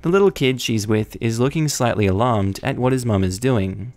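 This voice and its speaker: A man's voice: mid-range voice, moderate pace, a little mellow, no echo, faint music behind. A man narrating online forum stories aloud, reading evenly with the odd wry aside. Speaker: story narrator.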